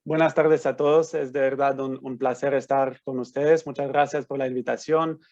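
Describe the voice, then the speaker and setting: Swiss male lawyer, non-native Spanish, hesitant delivery, muffled lo-fi video-call audio, mid pitch. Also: steady tone, moderate pace, a little mellow. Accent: Swiss, speaking Argentine-learned Spanish. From Switzerland. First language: not Spanish. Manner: hesitant, frequent restarts and fillers. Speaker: guest lecturer, lawyer. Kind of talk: online lecture. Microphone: computer mic on video call. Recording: slightly dull lo-fi sound.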